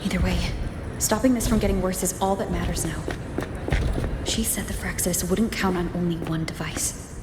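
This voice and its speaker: Woman acting determined young game heroine, lower voice, terse and thoughtful, roomy echo, music behind. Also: fairly even intonation, normal speed, very noisy, natural voice. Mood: grave, resolute. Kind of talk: dramatic video game voice acting.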